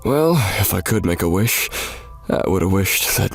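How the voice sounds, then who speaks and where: A man voicing a kind, fond stepfather in a manga dub, speaking softly and haltingly. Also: deep voice, animated, faint music behind. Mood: tender, wistful. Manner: soft, halting, tender farewell talk. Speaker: voice actor in a fan manga dub.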